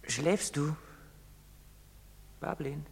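An actor playing a gentle young man talking in a hushed way to his sweetheart in a stage drama. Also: on the high side, extremely slow, creaky, slightly rough, full character voice. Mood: quiet, tender. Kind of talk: theatrical stage drama acting in German. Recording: reverberant hall.

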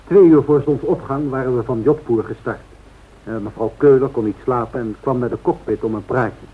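Man playing husky airline captain, tinny sound. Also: mid-range voice, moderate pace, lightly acted. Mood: amused, wry. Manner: wry, chatty storyteller. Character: genial male airline captain telling anecdotes. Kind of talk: radio play acting. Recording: tinny narrow-band old radio recording.

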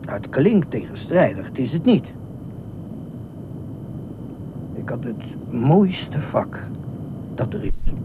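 A man's voice: mid-range voice, big pitch swings, measured pace. A man acting in a radio play as an eccentric, middle-aged self-appointed beach watchman, officious, rambling and easily indignant. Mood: proud. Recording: noisy, tinny phone-like radio drama recording.

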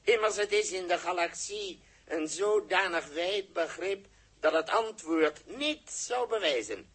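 An actor playing a stiff, ceremonious alien interrogator in a 1960s science-fiction radio drama. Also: male voice, sits high, expressive, a little slow, fairly bright tone, breathy edge, heavily acted. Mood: solemn. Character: formal alien interrogator running a truth test. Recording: muffled-edged 1960s radio recording.

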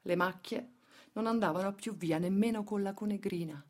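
A woman's voice: mid-range voice, moderate pace. A woman giving a dramatic radio theatre recitation as an actress-narrator. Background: soft guitar music.

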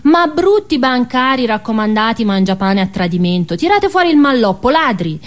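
A woman's voice: fairly high pitch, sing-song, moderate pace, pitched above the performer's own voice. A woman playing an angry street protester in a stage monologue, scornfully rattling off insults and accusations. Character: angry jeering street crowd berating bankers.

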